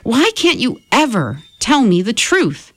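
An anxious young boy pressing his family with urgent questions in a storybook reading, put on by a woman. The voice rises high and pleading, with lively swings. The sound is dry and close. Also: unhurried, slightly rough, no room sound, heavily acted.

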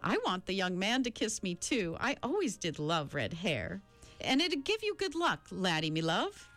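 A woman's voice: medium pitch, big pitch swings, moderate pace, a bit bright, soft background music. A woman voicing a doting, jolly, sentimental medium in a storybook, with an exclamatory, affectionate manner. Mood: fond, teasing.

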